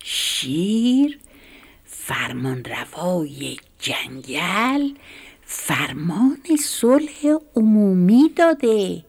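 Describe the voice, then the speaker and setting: A woman voicing a sly, scheming male fox in a children's storybook tale, calling out in drawn-out, excited tones. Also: lowish voice, slightly rough, heavily acted.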